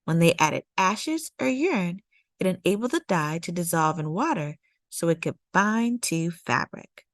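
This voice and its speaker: Woman reading a children's book aloud, lowish voice. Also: expressive, a little slow, no echo, clean studio sound. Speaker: storytime read-aloud host. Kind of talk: scripted read-aloud narration of a children's nonfiction picture book.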